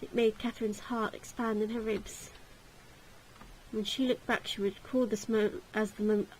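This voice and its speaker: A woman's voice: mid-range voice, little pitch movement, moderate pace, a little mellow, a little hiss or hum, poor audio. A woman reading a gothic visual novel aloud as narrator, in a dramatic storytelling style.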